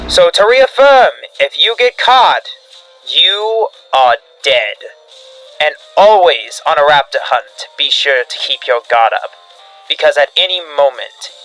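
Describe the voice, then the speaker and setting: A man's voice: fairly high pitch, pushed and bright, poor audio. A man delivering scripted analog horror narration like a field briefing, in a grave, dramatic instructional manner. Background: background music.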